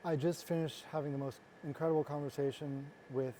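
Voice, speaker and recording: A man from the Los Angeles area hosting a casual interview, talking earnestly and haltingly with restarts. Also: on the high side, steady tone, dark tone, slight background noise, low-quality recording. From United States, Los Angeles area. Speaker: host, interviewer.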